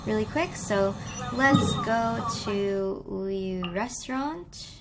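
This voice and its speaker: A woman's voice: mid-range voice, expressive, slow pace, very noisy. A young woman chatting casually as she plays a game, thinking aloud, with music behind her. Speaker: gaming YouTuber. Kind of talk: casual let's play commentary.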